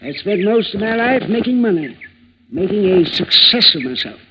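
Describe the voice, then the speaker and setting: A man's voice: sits high, sing-song, normal speed, muffled recording. An actor playing a sour, fussy, wealthy retired man of fifty-seven in 1950s radio drama style, hemming and peremptory. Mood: testy, peremptory. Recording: noisy, band-limited old radio recording.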